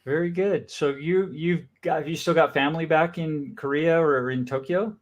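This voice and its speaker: A man's voice: on the high side, steady tone, moderate pace, highs cut off. A man hosting a business talk show, interviewing a guest in an upbeat, friendly way with enthusiastic interjections.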